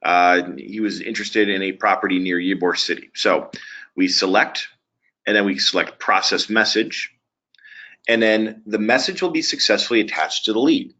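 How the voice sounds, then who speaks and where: Low-voiced man presenting a webinar. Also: moderate pace, bright, forceful tone. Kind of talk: software webinar walkthrough. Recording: dull, lo-fi webinar audio.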